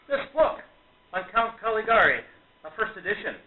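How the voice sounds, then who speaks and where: A proud, eager male stage magician played by an actor in a radio drama. His delivery is animated and emphatic, with earnest feeling. The recording is tinny and echoey. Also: high-pitched, moderate pace. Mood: enthusiastic. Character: proud, boyishly enthusiastic male stage magician, warm to friends. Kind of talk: radio play acting. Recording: tinny phone-like, roomy recording.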